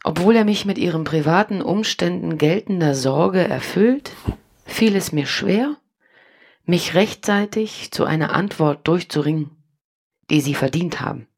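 A solemn woman reads a formal letter in a low, husky voice with plenty of creak. Her delivery is measured, grave and remorseful, in the manner of a radio play. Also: a little mellow. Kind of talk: dramatic letter reading in radio drama.

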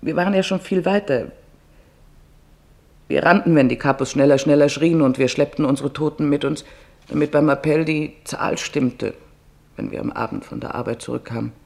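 Man voicing a weary, creaky-voiced camp survivor. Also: on the high side, moderate pace. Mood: sorrowful, haunted. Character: weary, sorrowful female concentration camp survivor giving testimony.

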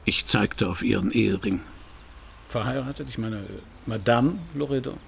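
An actor playing a world-weary, hard-boiled detective in a radio crime drama, with a gravelly voice and a dry, understated, probing manner. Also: male voice, on the low side, normal speed, slight background noise. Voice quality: gravelly, husky. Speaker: actor in lead role and narrator.

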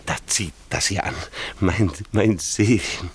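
An actor playing a doting but domineering village trader in a radio drama, husky and halting in his delivery. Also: low voice, a little hiss or hum. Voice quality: husky, breathy. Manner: slow, halting, murmured endearments. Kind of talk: German radio drama acting.

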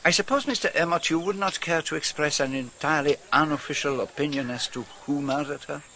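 A man's voice: a little high, a little fast, pushed and bright, low-quality recording. A man playing a courteous, inquisitive detective with a French-speaking European accent and formal, quaint phrasing in a radio drama. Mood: probing, polite.

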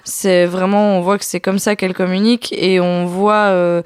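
A woman with a smooth, clear voice weighs her points in a casual podcast talk about a film. She speaks in a measured way, pausing on fillers. The sound is dry and close. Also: fairly low pitch, level tone, dry recording. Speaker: podcast co-host.

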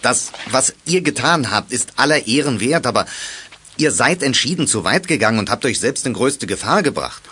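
An actor playing a stern but caring middle-aged father in a radio play, admonishing in a measured, explanatory way. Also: medium pitch, expressive, pushed and bright, husky. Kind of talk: German radio play acting.

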